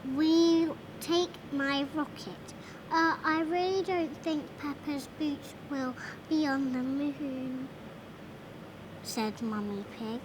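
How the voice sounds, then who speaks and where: Slow, high-pitched five-year-old girl reading aloud. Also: background noise. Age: child, about five. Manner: halting, sounding out words carefully. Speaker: young reader. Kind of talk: reading a children's storybook aloud.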